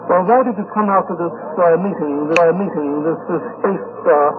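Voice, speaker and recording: A rather high-pitched man works as a roving 1950s radio interviewer. He asks questions haltingly, doubling back on his words. The tinny field recording has heavy noise behind him. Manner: halting, repeats words while forming questions. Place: on location at a convention.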